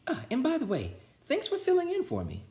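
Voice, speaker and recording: A man voicing a sweet, grateful woman schoolteacher in a storybook, with a gentle manner. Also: very high voice, huge pitch swings, brisk, voice pushed up, exaggerated voice. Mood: sweet, relieved. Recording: roomy indoor echo.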